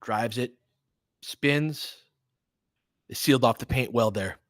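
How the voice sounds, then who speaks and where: A man's voice: mid-range voice, slow, dry recording, clean studio sound. An American man calling basketball play-by-play in an informal, conversational, opinionated way, mixed with casual fan chat. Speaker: play-by-play host of a fan stream.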